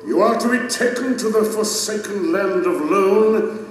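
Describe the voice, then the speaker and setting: A majestic, righteous king whose high male voice rings through a vast hall. He speaks slowly and gravely, declaiming like a judge in a solemn audio drama. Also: a little hiss or hum. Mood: stern. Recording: cavernous echo, distant, music underneath.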